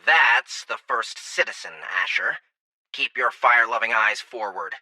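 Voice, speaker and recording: A man voicing a gruff, hot-tempered alien military commander who barks scolding orders, in an audiobook. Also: medium pitch, lively intonation, a little slow, pushed and bright, no room sound, pitched above the performer's own voice, rough recording. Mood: stern. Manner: barking, scolding, clipped orders. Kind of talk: audiobook character acting.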